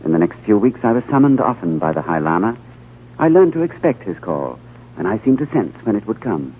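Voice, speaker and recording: A man's voice: on the low side, sing-song, a little fast, slight background noise. An actor playing an earnest, thoughtful younger man who narrates an adventure in 1940s radio drama style, with a dramatic, reverent delivery. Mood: reflective, hushed. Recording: tinny 1940s radio recording with music.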